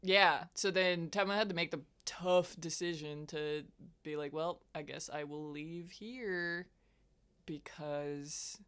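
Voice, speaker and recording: A young adult woman from the US West Coast with a Western American accent. She chats casually as a player and co-host, giggly and quick to laugh, with plenty of fillers. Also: low voice, steady tone, a bit bright, clean tone. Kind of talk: casual chat.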